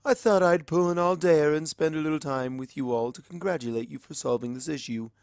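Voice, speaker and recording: A man's voice: on the high side, a little fast, gravelly, a little mellow, smooth, no room sound, studio quality, voice pushed up. A man voicing a female pony princess who speaks formally and stately.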